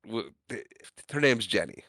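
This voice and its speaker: A man's voice: on the low side, big pitch swings, a little slow, fairly bright tone, no room sound, clean studio sound. A man co-hosting a podcast, retelling film scenes in casual chat, acting out the characters' quoted lines. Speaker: podcast co-host.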